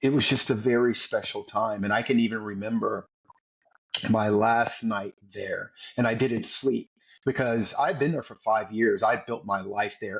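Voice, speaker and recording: Husky mid-pitch man, 40s, earnest storytelling guest, dull lo-fi sound. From United States. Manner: earnest, repeats words while telling a story. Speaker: podcast guest, former Navy SEAL. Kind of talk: storytelling interview.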